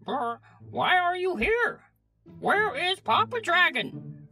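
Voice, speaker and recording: A man voicing a small, fearful farm animal in a storybook, shivering and squeaky. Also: big pitch swings, takes it slowly, sharp tone, soft background music, heavily acted. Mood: cold, fearful.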